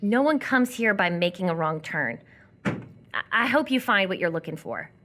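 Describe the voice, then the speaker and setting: Woman acting young trailer girl, sardonic n guarded, blunt but hurt, pitch moves around a lot, stage radio play. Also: big pitch swings, normal speed, a bit bright, a little hiss or hum. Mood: resigned. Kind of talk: live radio theatre acting.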